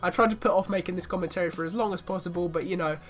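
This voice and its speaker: A man's voice: high voice, rapid-fire. A man with a bad cold giving a casual spoken review of a video game, chatty and fond of repeating "really, really".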